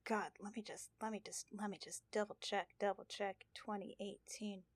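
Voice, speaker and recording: A woman chatting casually as a podcast regular, playful and expressive. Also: mid-range voice, normal speed, no echo. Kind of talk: casual group chat. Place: remote group recording over a call.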